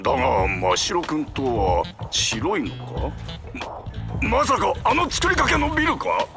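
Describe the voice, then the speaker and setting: Gravelly, burly bear foreman. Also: fairly high pitch, sing-song, measured pace. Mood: concerned. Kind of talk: anime game voice acting. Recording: music behind.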